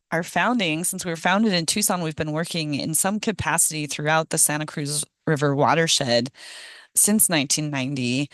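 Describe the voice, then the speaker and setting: A middle-aged woman, hoarse from a cold, giving a casual presentation on a video call with many ums and small laughs. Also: low voice, no echo, studio quality. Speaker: guest speaker, ecologist. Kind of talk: online meeting presentation.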